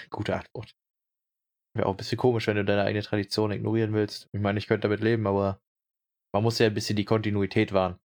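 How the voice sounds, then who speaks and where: Lowish rambling young man, casual chat. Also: flat delivery, fast, a little mellow. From Brandenburg, Germany. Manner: rambling, hesitant, many fillers. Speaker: podcast co-host.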